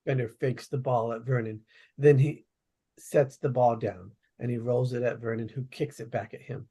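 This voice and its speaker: A man's voice: mid-range voice, fairly even intonation, normal speed, dark tone, breathy edge. A man reading out stage directions as plain narration at a script table read.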